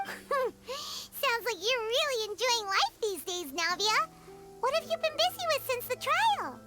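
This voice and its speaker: Teeny squeaky fairy sidekick, super high, bouncy and chirpy, loud game music behind. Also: female voice, big pitch swings, measured pace, exaggerated voice. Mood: cheerful. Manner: chirpy, speaks of herself in third person. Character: tiny childlike chirpy fairy companion.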